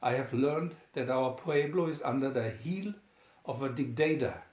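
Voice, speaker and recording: A man reading the part of an educated, cunning, haughty young gentleman hero in a storybook, in a measured, declamatory way. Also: medium pitch, close to an everyday voice. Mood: resolute, scheming. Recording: roomy, dull home recording.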